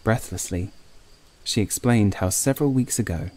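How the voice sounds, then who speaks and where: A man reading a scripted first-person horror story as an audiobook narrator, in a measured, literary way. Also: on the low side, a little mellow.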